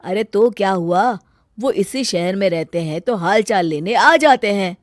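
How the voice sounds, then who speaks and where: A woman voicing a mild older husband in a cartoon story, reasonable and softly chiding. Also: mid-range voice, lively intonation, unhurried, no room sound, clean studio sound, close to an everyday voice. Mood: mildly disapproving. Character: mild, disapproving older husband and family father. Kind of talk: Hindi cartoon story dialogue.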